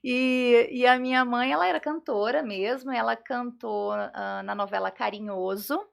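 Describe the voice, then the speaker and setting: A woman with a Carioca Brazilian accent giving personal testimony as a podcast guest, often pausing and checking for agreement. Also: fairly high pitch, gravelly. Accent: Carioca Brazilian Portuguese. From Rio de Janeiro, Brazil.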